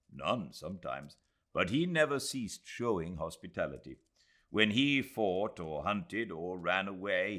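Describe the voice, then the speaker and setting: Male narrator as courteous male host, eager, effusive, earnest, lively swooping pitch, classic audiobook character. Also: exaggerated voice. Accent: British. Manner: earnest, ardent, emphatic.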